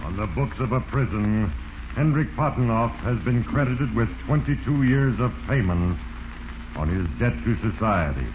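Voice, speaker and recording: A man playing Fate, an ominous narrator of a 1940s radio drama, speaking in a grave, portentous, deliberate way. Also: on the low side. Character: Fate, ominous male narrator judging doomed mortals. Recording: noisy, dull, echoey 1940s radio recording.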